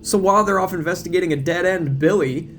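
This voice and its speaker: An excitable teenage movie buff played by a man lectures with total conviction in a horror audio drama. The voice is pushed high and emphatic, with music playing behind it. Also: fast. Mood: excited lecturing. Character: excitable teenage male movie geek, know-it-all video clerk.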